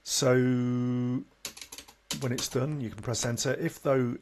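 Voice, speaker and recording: A man presenting an informal step-by-step software tutorial, matter-of-fact and a little halting as he demonstrates. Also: medium pitch, fairly even intonation, a little hiss or hum.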